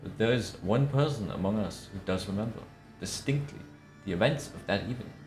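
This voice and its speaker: A man reads in a measured, theatrical manner with an affected, put-on accent. His low voice moves expressively up and down, in a roomy space with faint music behind it. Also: animated, a little mellow. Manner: measured, dramatic, affected diction. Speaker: streamer reading aloud. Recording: roomy echo.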